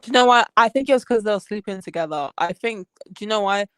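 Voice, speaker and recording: A woman's voice: medium pitch, fast, fairly bright tone, clear, resonant tone, no echo. Woman w/ mid voice dishing gossip casually, kinda challenging, repeats herself, no room sound at all. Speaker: gossip show panelist.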